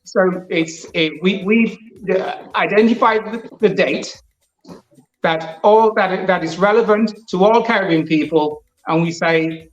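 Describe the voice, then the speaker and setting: A man's voice: sits high, reverberant, dull audio. A man raised partly in Jamaica, a guest on an interview show, explaining at length and stumbling over the first words of his answers. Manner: halting, repeats words as he starts answers.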